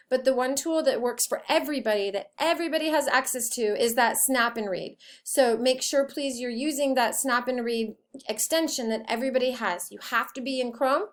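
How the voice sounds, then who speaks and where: A woman teacher giving an informal, step-by-step software tutorial in an explanatory way. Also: high-pitched.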